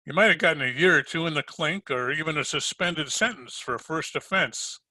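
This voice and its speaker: A very bright, forceful, somewhat high voice for a wisecracking private eye acted by a man in a radio mystery, recorded close and dry. He is sardonic, slangy and needling. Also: brisk, no echo. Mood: pressing, sardonic. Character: wisecracking, sardonic male private detective narrator. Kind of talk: old-time radio detective narration and acting. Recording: home recording over video call.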